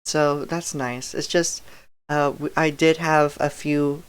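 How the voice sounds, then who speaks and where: Low-pitched lady streamer chatting slow n easy, smooth voice, bit of music behind. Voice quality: dry, slightly raspy throat. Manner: relaxed and rambling, with soft laughs and fillers. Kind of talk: casual live-stream chat.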